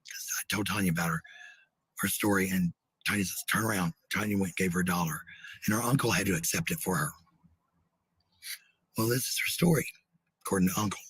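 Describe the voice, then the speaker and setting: Low, husky man venting bluntly. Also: a little fast, pauses often, a bit bright, no echo, low-quality recording. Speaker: video host telling personal stories. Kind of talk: casual personal storytelling and venting.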